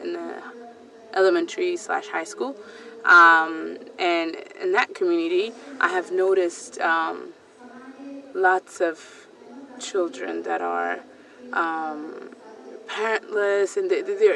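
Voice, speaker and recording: A woman's voice: lowish voice, vocal fry, sharp tone, recorded from a distance, a little hiss or hum, rough recording. A woman in her thirties from Ethiopia, telling a personal story hesitantly, with many fillers and restarts. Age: 30s. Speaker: storyteller sharing a personal account. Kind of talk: personal testimony. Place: small recording booth.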